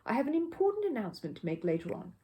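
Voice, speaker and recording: A woman's voice: high voice, wildly swooping pitch, rapid-fire, dark tone, voice pushed up. A genial middle-aged dad from a storybook, read by a woman. He speaks like a tactful party host making announcements. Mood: announcing.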